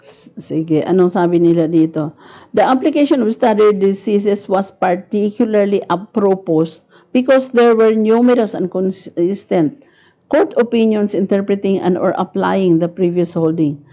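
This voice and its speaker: A woman's voice: mid-range voice, moderate pace, dark tone, muffled recording. A woman with a Filipino accent giving a live online lecture in a conversational way, often adding a questioning tag to her phrases. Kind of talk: live online lecture, explaining and reading out court decisions.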